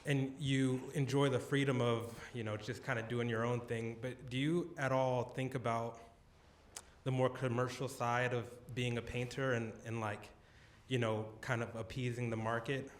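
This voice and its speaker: A man in an audience puts a long question to a speaker, his voice staying flat and even. He halts and searches for words as he goes, filling gaps with "you know". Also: medium pitch, moderate pace. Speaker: audience member asking a question. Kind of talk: audience question at a gallery talk.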